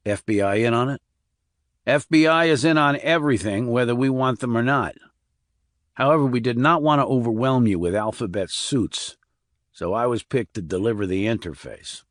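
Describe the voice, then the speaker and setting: A man's voice: medium pitch, no room sound, clean studio sound. A man reading a thriller audiobook as a scripted narration, moving between narrative and dialogue.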